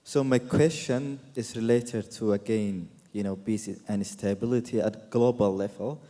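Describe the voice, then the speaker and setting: A man from Somalia with a Somali accent puts a formal question from the audience, emphatic and deliberate, in short repeated phrases. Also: fairly low pitch, steady tone, dark tone. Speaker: audience member asking a question. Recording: echoey hall with long reverb.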